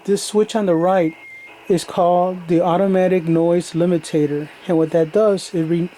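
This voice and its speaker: A man's voice: sits high, steady tone, moderate pace, warm tone. A man giving a casual, plain-spoken, step-by-step demonstration of radio gear, like a hobbyist showing how it works. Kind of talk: casual hands-on product demonstration. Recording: noisy recording with radio static. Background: radio static and background noise.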